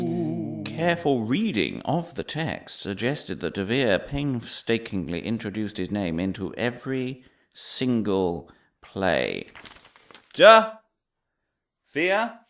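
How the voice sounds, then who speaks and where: A man's voice: mid-range voice, a bit bright, no echo, studio quality. A man playing a deluded, paranoid professor in a comic audio drama monologue, earnest and pompous, with a theatrical, stammering delivery. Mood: pompous, scholarly. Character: deluded paranoid male professor, eccentric conspiracy theorist.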